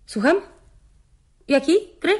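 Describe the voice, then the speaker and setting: An actress playing a nervous, wary young job applicant in a radio drama, hesitant and a little defensive. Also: female voice, high voice, expressive, slow pace.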